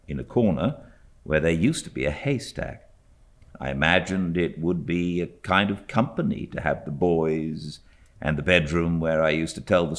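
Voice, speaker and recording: A man with a British accent narrates a classic novel. His voice sits extremely low, and he takes his time through the literary prose at a slow storytelling pace. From Britain. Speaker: audiobook narrator.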